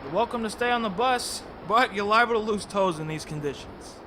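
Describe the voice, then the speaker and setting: A man's voice: sits high, animated, brisk. An actor playing a plain-spoken, folksy male bus driver in an audio drama. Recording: wind and road noise outdoors.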